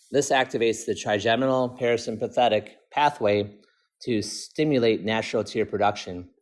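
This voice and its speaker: Male optometrist, lower-pitched, formally reading a scripted clinical article.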